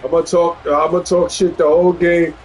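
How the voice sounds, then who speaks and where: A man's voice: fairly high pitch, flat delivery, brisk, soft background music, low-quality recording. Profane trash-talking man in his fifties. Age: 50s. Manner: profane, boastful, taunting; repeats phrases. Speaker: gamer streaming online match. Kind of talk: trash-talking live gameplay chat.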